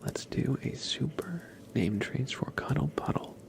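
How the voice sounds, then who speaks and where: Whispering man with heavy creaky fry. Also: medium pitch, lively intonation, measured pace. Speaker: ASMR livestream host. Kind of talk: ASMR, casual chat with viewers. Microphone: close ASMR microphone.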